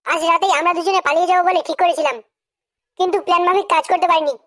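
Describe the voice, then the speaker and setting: A sharp-tongued, defiant cartoon wife, with an extremely high, squeaky pitch. She schemes openly and taunts bluntly, firing off lines at a breakneck pace. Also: steady tone, very fast. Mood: brazen, taunting. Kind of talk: comedy cartoon dialogue.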